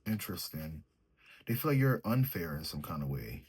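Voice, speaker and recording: A young man reads tarot in a mellow, sleepy manner, musing out loud over each card. His voice sits low and has a husky, breathy edge. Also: sing-song, moderate pace. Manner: sleepy, mellow, thinks aloud while drawing cards. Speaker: tarot reader. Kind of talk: solo tarot reading, casual chat.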